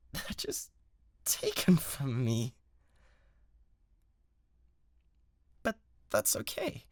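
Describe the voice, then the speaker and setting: A man's voice: fairly high pitch, no room sound, clean studio sound, exaggerated voice. Man playing a volatile, obsessive British streamer boy. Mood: wounded, brooding. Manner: intimate, pleading, swinging between hushed and insistent. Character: obsessive, bratty young male yandere livestreamer, needy and menacing. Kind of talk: dramatised one-man roleplay addressed to the listener.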